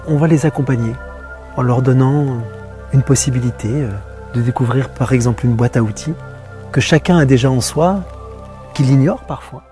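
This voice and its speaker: An actor playing an earnest wellness coach. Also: male voice, mid-range voice, moderate pace, lightly acted. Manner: soft, earnest, therapeutic phrasing. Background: music.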